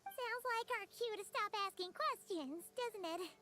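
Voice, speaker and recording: A woman voicing a tiny, cheeky fairy companion girl in a video game, squeaky, childlike and playful. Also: expressive, a little slow. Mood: cheerful. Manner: exclamatory, playful. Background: game music.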